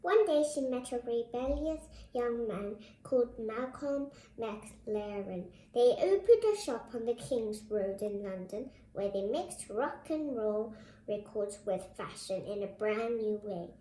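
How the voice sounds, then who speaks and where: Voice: mid-range voice, expressive, a little slow, dark tone, a little hiss or hum. A child host reading a picture book aloud, addressing viewers directly in a presenterly way. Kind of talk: read-aloud storybook narration with brief asides. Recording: roomy, echoing indoor sound.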